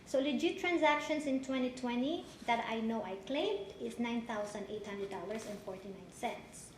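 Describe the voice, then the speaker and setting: High-voiced Filipino woman testifying. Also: a little mellow. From Philippines. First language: Tagalog. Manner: halting, many restarts. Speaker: witness in a divorce hearing. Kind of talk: courtroom testimony. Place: courtroom. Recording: echoey courtroom, background noise.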